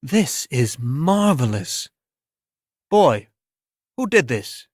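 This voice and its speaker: A man voicing a pompous, excitable art critic in a dramatic story reading, exclaiming with overblown fervor. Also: mid-range voice, drawn-out words, dry recording, studio quality, exaggerated voice. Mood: awed.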